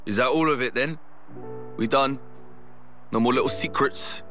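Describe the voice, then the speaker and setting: A man's voice: medium pitch, normal speed, bright, forceful tone, faint music behind, close to an everyday voice. A man playing a guarded, stubborn young man in a video game cutscene, speaking curtly and defiantly. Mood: suspicious. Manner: curt, stubborn.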